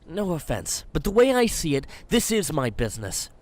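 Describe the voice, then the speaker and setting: A man's voice: on the high side, sing-song, moderate pace, darkish tone. A man playing a cocky young wasteland companion who talks earnestly and speaks his mind. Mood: concerned.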